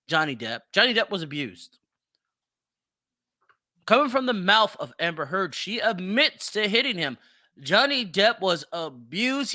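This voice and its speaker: A man's voice: sits high, pushed and bright, no room sound, clean studio sound. A man hosting a solo opinion podcast, speaking emphatically and repeating phrases for effect. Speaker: podcast host.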